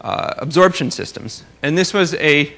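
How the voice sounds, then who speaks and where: A man's voice: fairly high pitch, normal speed, sharp tone, a little hiss or hum. A man giving an informal research lecture, explaining technical material with careful hedges and wry, dry asides. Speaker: astronomer giving a research talk.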